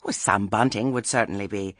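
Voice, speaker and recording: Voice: low-pitched, fairly quick, dry recording, clean studio sound, lightly acted. A narrator voicing a fussy, genteel lady witch with a trilling, patronising and over-polite manner. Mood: flustered.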